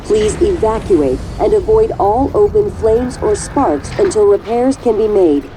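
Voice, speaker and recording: Game role: woman as impersonal station alarm system, formal announcer delivery, mid-pitched, noisy ambience. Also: animated, a little slow, lightly acted. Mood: impersonal warning. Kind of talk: scripted safety announcement in a video game.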